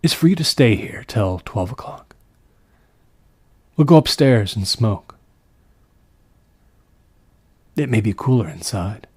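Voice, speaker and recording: A man performs a plain-spoken village stonemason in a storybook horror tale. He is folksy and earnest, pushing his words out with emphasis, and his voice often lifts higher, with a husky edge. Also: animated, a little slow, no room sound. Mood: intensely serious.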